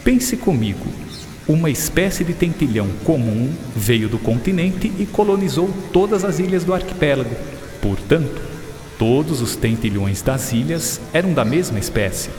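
An actor playing a patient, earnest English gentleman naturalist who explains things and drops in English interjections, in an educational radio drama. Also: a little high, lively intonation, moderate pace, lightly acted. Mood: earnest, explanatory. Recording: reverberant hall sound with music underneath.

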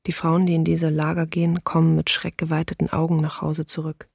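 A woman's voice: sits low, completely flat delivery, brisk, gravelly, darkish tone, no echo, clean studio sound. A woman playing a grave, restrained diarist who bears witness to occupation in a documentary radio play.

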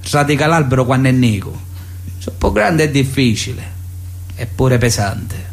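Boss siciliano devoto e minaccioso. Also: male voice, mid-range voice, animated, creaky. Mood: veiled menace. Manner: solemn, sermonizing, measured insistence. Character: pious, paternalistic, veiledly menacing Sicilian mafia elder. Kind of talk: theatrical radio drama monologue, letter read aloud.

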